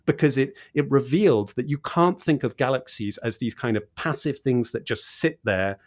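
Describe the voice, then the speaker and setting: A man's voice: medium pitch, normal speed. A man explaining science in conversation as an interview guest, with an enthusiastic manner and repetition for emphasis. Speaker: podcast guest, cosmologist explaining research.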